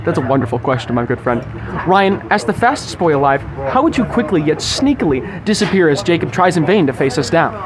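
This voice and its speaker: A young man with a fairly high voice hosts a podcast from a busy restaurant. He delivers wordy, grandiose monologues in a mock-formal, theatrical way, with clear chatter around him. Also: very animated, fairly quick. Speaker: podcast host. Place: recorded inside a sushi restaurant.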